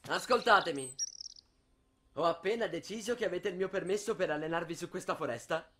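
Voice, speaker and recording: A man's voice: high voice, brisk, fairly bright tone, a little hiss or hum. A man voicing a composed, condescending teenage soccer rival in an anime fandub. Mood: calm. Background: anime soundtrack.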